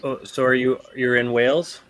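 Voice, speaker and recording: A man's voice: mid-range voice, level tone, moderate pace, fairly bright tone. A man with an American accent in casual conversation on a gaming panel. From United States. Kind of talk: casual chat.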